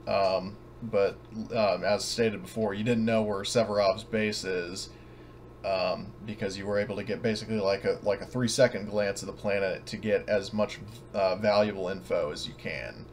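A man casually explaining a tabletop game scenario as game master, in a halting, rambling way. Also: on the low side, steady tone, slight background noise. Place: online voice call.

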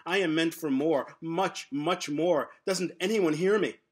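A frantic little pencil in a storybook reading, voiced by a man, crying out in panic. The voice jumps up in pitch and gets much louder. It begs desperately for someone to hear it. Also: unhurried, slightly rough. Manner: panicked screaming pleas. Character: terrified living pencil crying for help.

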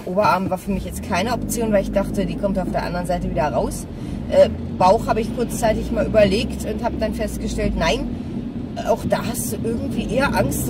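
A woman, chatting casually and candidly to camera, rambling as she thinks aloud. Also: mid-range voice. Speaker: vlogger. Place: inside a car. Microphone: dashboard-mounted camera or phone. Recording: noisy car interior, some distance from mic.

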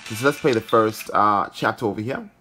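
A man's voice: fairly low pitch, normal speed, music in the background. A man hosting a gaming video, giving casual commentary as he plays. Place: indoor room, filming a handheld phone.

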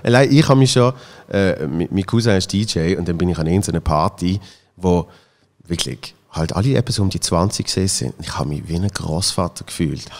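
A man in his thirties with a Swiss German accent, hosting a casual podcast chat and telling anecdotes with frequent restarts, on a studio boom mic. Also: a little fast, big-room sound. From Switzerland. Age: 30s. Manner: chatty, anecdotal, restarts often. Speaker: podcast host. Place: bright studio room. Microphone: boom-arm studio mic.

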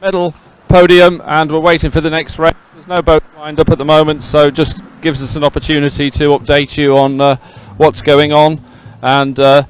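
A man's voice: fairly high pitch, flat delivery, moderate pace, few pauses, smooth. A middle-aged man presenting live outdoors at a sports event on a handheld mic, chatty and rambling, often repeating himself as he fills time. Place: outdoors by a regatta podium. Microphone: handheld microphone.

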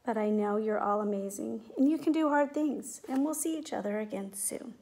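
A middle-aged woman teacher speaking in simple, clear phrases to young children, as in a read-aloud or classroom demonstration. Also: a little high, moderate pace, mellow, poor audio. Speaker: teacher making videos for pupils. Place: indoors at home, living room and kitchen. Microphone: device mic at about arm's length.

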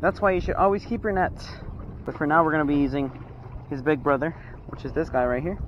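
A man's voice: fairly high pitch, fairly quick, muffled recording. A young man from Texas giving upbeat, casual commentary to viewers while fishing on a rocky jetty, recorded on a body-worn action camera. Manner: upbeat, exclaims over each catch, addresses viewers as guys. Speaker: fishing vlogger. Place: outdoors on a rocky jetty by open water. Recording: wind noise outdoors. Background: wind and water.

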